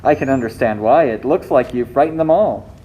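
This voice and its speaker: A storyteller narrates a scripted puppet show for children. The voice sits at a medium pitch. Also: brisk, mellow, breathy edge, highs cut off.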